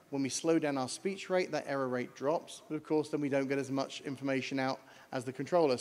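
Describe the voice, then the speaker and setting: A man with a British accent giving a brisk conference talk from slides, explaining to an audience. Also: fairly high pitch, a little mellow. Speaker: panel speaker on air traffic communication. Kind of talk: conference presentation. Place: large conference hall. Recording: long hall reverb.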